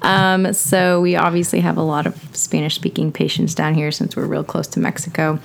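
A woman's voice: sits low, flat delivery, moderate pace, vocal fry, slight background noise. A young woman from Texas with a Texan accent, co-hosting a casual podcast in a chatty, joking way. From Houston, Texas. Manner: chatty, joking, laughs often. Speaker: podcast co-host, medical applicant.

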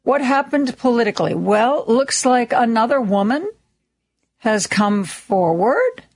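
A woman hosting a radio talk show in a studio, with a slightly raspy, hoarse voice and a chatty, self-deprecating way of talking full of ums and restarts. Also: fairly high pitch, very animated, measured pace, a little mellow. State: a little hoarse, lingering cough. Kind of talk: casual on-air monologue. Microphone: studio microphone.

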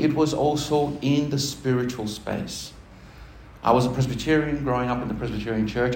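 A man's voice: on the high side, darkish tone, slight background noise. Man preaching in a roomy church. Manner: conversational, self-deprecating, laughing with the congregation. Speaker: pastor. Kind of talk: personal testimony sermon. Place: church hall. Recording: roomy church hall sound.

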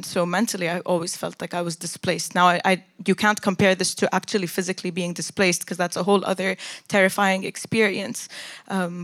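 An earnest young woman from Jordan tells her story candidly as a panel guest. Her voice sits at a middle pitch. The sound is dry and close, with almost no room in it. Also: a bit bright, no echo. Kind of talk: personal reflective discussion.

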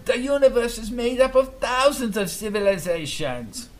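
A man voicing a loud, older male scientist who speaks with a thick foreign accent and a commanding, pompous manner. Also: very high voice, animated, normal speed, voice pushed up. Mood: insistent.

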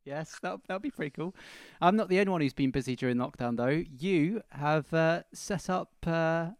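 A man's voice: fairly high pitch, animated, normal speed, no echo. Man co-hosting a casual podcast, dry sound. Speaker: podcast co-host. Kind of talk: casual football podcast chat.

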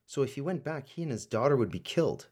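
A man's voice: medium pitch, expressive, fast, darkish tone, slightly rough. A man acting in a radio play as a businesslike, wry government official helping an investigation. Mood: searching, matter-of-fact.

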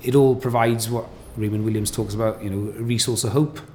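Low-voiced man, measured. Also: fairly even intonation, warm tone, slight background noise. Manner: thoughtful, measured, with fillers. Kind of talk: casual academic discussion.

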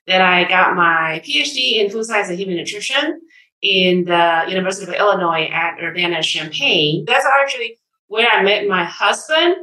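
A woman professor from Taiwan, introducing herself in a friendly, measured way. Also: fairly low pitch, animated, pushed and bright, echoey room, rough recording. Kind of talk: interview guest.

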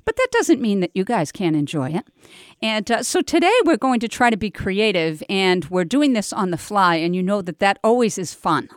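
A woman's voice: mid-range voice, very animated, moderate pace, no echo, studio quality. Effusive, informal woman hosting live radio. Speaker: radio show host.

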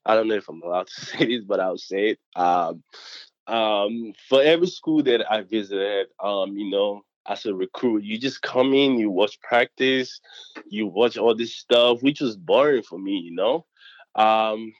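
A young man from Nigeria, speaking English as a second language, chats through his story in a low, lively voice full of fillers. The sound is dry and a little dull, as if coming through a remote link. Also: dry recording. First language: not English. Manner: animated, chatty, many fillers, acts out quotes. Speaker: student athlete guest. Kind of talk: casual interview storytelling.

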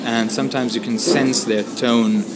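A young man from Syria with a Syrian Arabic accent, a native Arabic speaker talking in English as a journalist guest, measured and hesitant with many pauses. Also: medium pitch, steady tone, noisy background. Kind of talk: conversational interview.

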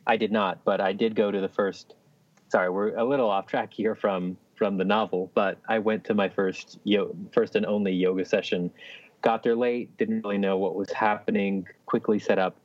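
A man's voice: on the low side, fairly even intonation, normal speed, no echo, highs cut off. A man co-hosting a podcast, chatting casually and telling anecdotes. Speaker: podcast co-host.

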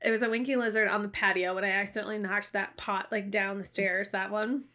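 A woman's voice: mid-range voice, steady tone, quick pace, pushed and bright. A young woman co-hosting a podcast in casual, joking chat, laughing often. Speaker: podcast co-host.

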